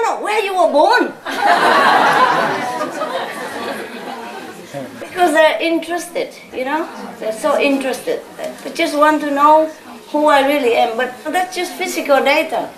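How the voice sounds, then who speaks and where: A woman's voice: sits high, fairly bright tone. Woman, spiritual teacher, informal chatty talk, reverberant lecture hall, audience laughter. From Vietnam. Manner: chatty, laughing, tag questions like "no?".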